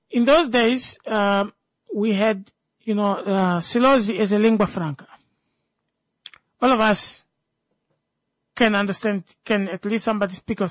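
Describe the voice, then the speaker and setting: This is a speaker with a Namibian accent and a native local language, in an unscripted discussion. The delivery is emphatic, with phrases repeated for stress, and the sound is dry with no room echo. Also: medium pitch.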